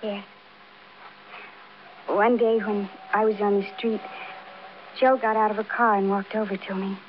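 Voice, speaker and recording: An actress playing a childlike, defiant housewife confessing in a naive, matter-of-fact way, in 1950s radio crime drama style. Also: female voice, medium pitch, flat delivery, a little slow, lightly acted. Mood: wistful, detached. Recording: dull, noisy old radio broadcast.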